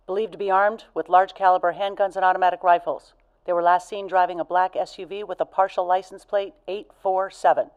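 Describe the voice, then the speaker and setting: A woman playing a brisk, official police dispatcher reading bulletins in a radio play. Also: lowish voice, little pitch movement, smooth, highs cut off, close to an everyday voice. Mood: urgent, official.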